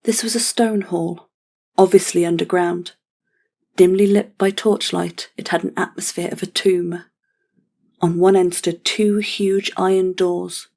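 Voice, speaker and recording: Female narrator for scripted fantasy, slightly low register, very level and solemn. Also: steady tone, measured pace, gravelly, mellow.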